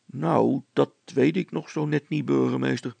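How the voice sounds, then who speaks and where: A man's voice: medium pitch, fairly even intonation, a little slow, creaky, a little mellow, husky, dry recording, voice pushed up, exaggerated voice. A man voicing a sober, patient, doubting village smith in a story, with a dry, hesitant manner. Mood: doubtful.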